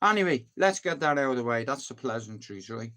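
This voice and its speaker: A man's voice: mid-range voice, lively intonation, brisk, pushed and bright. A young Irish man chatting casually with livestream viewers, joking and swearing. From Ireland. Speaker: livestream host.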